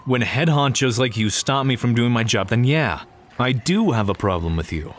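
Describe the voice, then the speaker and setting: A man's voice: medium pitch, expressive, normal speed, slight background noise, lightly acted. A man playing a sardonic, blunt police detective in an audio crime drama. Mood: sarcastic, irritated. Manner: sarcastic, blunt. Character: sardonic, gruff adult male police detective. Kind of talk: audio drama acting with narration.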